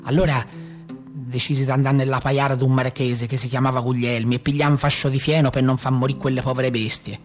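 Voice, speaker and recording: A man's voice: mid-range voice, little pitch movement, brisk, faint music behind, lightly acted. An actor playing a rustic bandit with a Tuscan accent, telling his hard life in a plain, folksy theatrical monologue. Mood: earnest recollection. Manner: plain-spoken, folksy storytelling. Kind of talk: theatrical monologue in a radio play.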